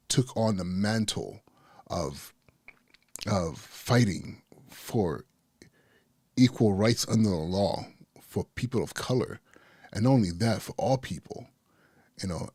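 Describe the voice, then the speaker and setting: Husky, low-voiced man who stutters. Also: darkish tone, no echo. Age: 40s. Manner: stutters, repeats and restarts words. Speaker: podcast host, school principal. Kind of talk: casual podcast commentary.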